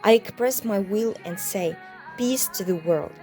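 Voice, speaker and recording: Woman, voice-over interpreter; steady, earnest read, warm timbre, soft music bed. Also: medium pitch, measured pace.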